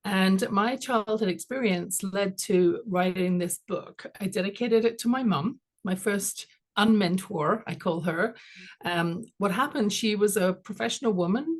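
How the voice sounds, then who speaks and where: A woman from London with a London English accent, a guest coach telling her story in a measured, reflective way in a podcast interview. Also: medium pitch. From England. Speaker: guest, confidence coach and author.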